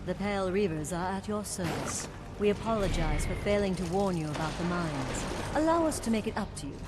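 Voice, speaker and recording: An actor playing a courteous, dryly wry plant-folk soldier in a video game. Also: male voice, sits high, animated, brisk, heavy background noise. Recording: loud music behind.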